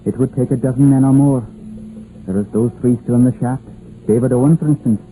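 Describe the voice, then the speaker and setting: An actor playing a blunt, gloomy Welsh village coal miner in a 1950s radio drama, speaking in lilting dialect phrasing. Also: male voice, medium pitch, fairly even intonation, fairly quick, mellow, lightly acted. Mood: grim, insistent. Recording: muffled, faintly noisy old radio recording.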